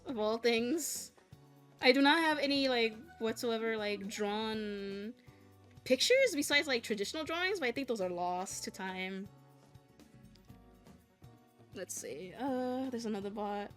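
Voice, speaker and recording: A young woman in her late twenties with a bright, clear voice that sits a little high. She talks in a loose, candid way while gaming, swearing freely and laughing nervously as she vents. Soft music plays in the background. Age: 20s. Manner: frank and profane, venting with nervous laughs. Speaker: content creator.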